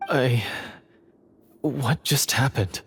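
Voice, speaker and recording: A man playing a melodramatic, brooding treasure-hunting schemer in a video game, with halting, dramatic delivery. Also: mid-range voice, a bit bright, slight background noise. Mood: shaken.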